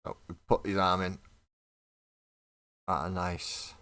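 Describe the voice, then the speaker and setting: Brief: low-voiced man, casual stream host, bit of a cough, chats with viewers. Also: level tone, slow pace, fairly bright tone, clear, resonant tone. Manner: chatty, laughs often, talks to viewers in chat. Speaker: mini painting livestream host. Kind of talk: casual chat while building models.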